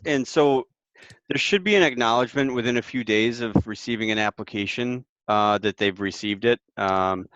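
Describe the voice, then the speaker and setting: A man on an online call answering questions as an expert panelist, explaining carefully and a little haltingly without a script. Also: lowish voice, a bit bright, clean tone, no echo. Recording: slightly dull online call audio.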